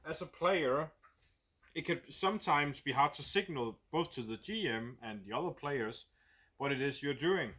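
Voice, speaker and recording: Man, Danish accent, slightly high voice, casual designer talk, thinking aloud. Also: a bit bright. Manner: thinking aloud, searching for words in English. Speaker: game designer. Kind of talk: casual explanation of a game prototype.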